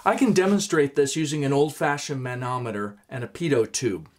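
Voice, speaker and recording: Steady man explaining in a workshop. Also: medium pitch, brisk. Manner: steady, explanatory, gestures while talking. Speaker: woodworking instructor. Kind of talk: scripted instructional explanation. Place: small home workshop, indoors.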